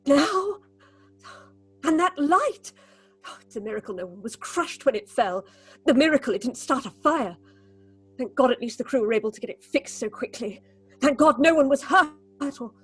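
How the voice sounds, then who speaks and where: High-voiced, imperious theatre director. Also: female voice, husky. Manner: imperious, melodramatic, talks aloud to herself. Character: stern, anxious woman theatre director, domineering and haunted. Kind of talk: theatrical audio horror drama acting.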